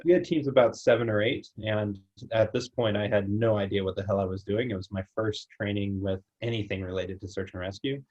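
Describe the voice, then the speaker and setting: A young man with a slight New Zealand accent, a company founder talking in a relaxed, plainspoken way as an interview guest on a video call. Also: fairly low pitch, fairly quick, hardly any pauses, muffled recording. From United States. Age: young adult. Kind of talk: casual interview.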